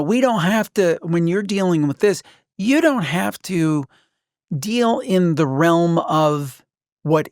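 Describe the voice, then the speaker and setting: Higher-pitched man hosting a podcast. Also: no room sound, studio quality. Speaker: podcast host. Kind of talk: conversational podcast interview.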